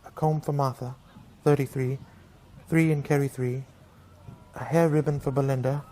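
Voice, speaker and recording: An actor playing a meek, kindly Victorian clerk in a radio drama, polite, apologetic and eager to obey. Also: male voice, medium pitch, steady tone, moderate pace, dark tone, a little hiss or hum, natural voice. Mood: busy.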